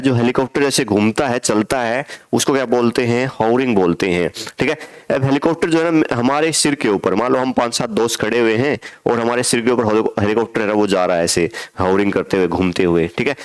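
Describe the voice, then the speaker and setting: A man teaching a lesson, explaining step by step and asking and answering his own questions, reading example sentences slowly. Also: mid-range voice, clear, resonant tone. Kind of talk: online classroom lesson.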